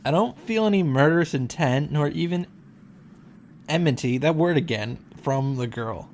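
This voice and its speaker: A man's voice: a little high, lively intonation, normal speed, a little mellow, a little hiss or hum. A man giving casual, sarcastic reaction commentary while reading and summarizing a visual novel. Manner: sarcastic asides, casual swearing.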